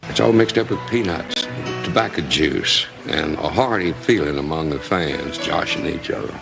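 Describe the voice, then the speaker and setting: Very deep gravelly man, reflective. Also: animated, normal speed, over music, low-quality recording. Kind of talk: nostalgic reminiscence about baseball.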